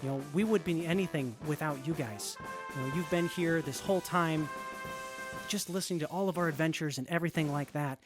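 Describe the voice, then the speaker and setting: An earnest, easygoing survivor from an audio-drama wasteland, voiced by a man, thanking his friends in loose, rambling speech. His voice sits slightly high, and music runs behind him. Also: brisk, natural voice. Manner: casual, earnest, lots of fillers. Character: earnest young male wasteland radio survivor. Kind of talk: in-character audio drama farewell.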